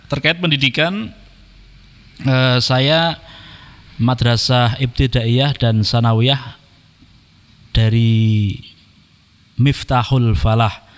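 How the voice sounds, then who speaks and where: A man, speaking as a podcast guest in a polite, measured way, with frequent "eh" and "ya" fillers. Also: mid-range voice, fairly even intonation, long reverb tail. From Indonesia. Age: 30s. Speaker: podcast guest, Quran reciter. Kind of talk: casual podcast conversation.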